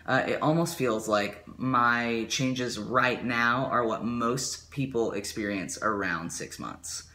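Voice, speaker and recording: A man's voice: medium pitch, slow, sharp tone. A young man talking casually to camera in a small bedroom, rambling with lots of fillers, his voice prone to cracking. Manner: rambling with many fillers and likes. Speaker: vlogger. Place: small bedroom with ceiling fan.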